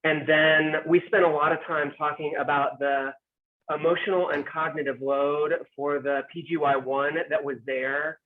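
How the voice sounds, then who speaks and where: A man with a slightly high voice sums up his group's talk, easygoing and thoughtful. The sound is thin and phone-like. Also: flat delivery, moderate pace. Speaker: workshop participant. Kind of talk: online workshop discussion.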